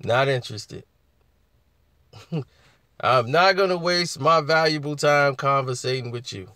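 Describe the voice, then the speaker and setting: A man with a husky voice hosting a casual live chat, laughing often and answering viewers. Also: mid-range voice, measured pace, pushed and bright, dry recording, studio quality.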